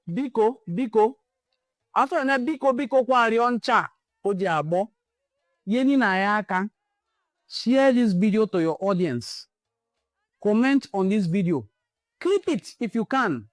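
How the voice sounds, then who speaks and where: A woman's voice: on the high side, no echo, studio quality. A Nigerian woman hosting a cultural channel, delivering an impassioned address built on repeated rallying calls. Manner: rallying, repetitive exhortations.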